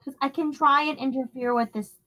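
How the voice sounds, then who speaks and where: A woman's voice: high-pitched, steady tone, brisk, sharp tone, no room sound. A woman chatting casually as a player in a tabletop roleplaying game.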